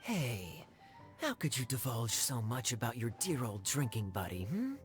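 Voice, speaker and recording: Suave male knight, playful teasing, very lively melody, game dub, music underneath. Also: medium pitch, a little slow. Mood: playful, sly. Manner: smooth-talking, playful, teasing. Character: suave, teasing young male knight, slyly smooth-talking. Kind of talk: video game voice acting.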